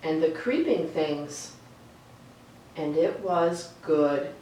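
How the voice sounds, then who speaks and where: A woman reading aloud for a children's storytime in a slow, deliberate, expressive way. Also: low voice. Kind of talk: read-aloud storytime with chatty asides. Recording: echoey room, distant mic, faint noise.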